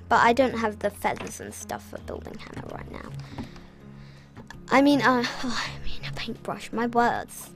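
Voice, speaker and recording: A young British woman chatting casually through her gameplay, rambling with self-mocking asides. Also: fairly high pitch. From Britain. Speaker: gaming channel host.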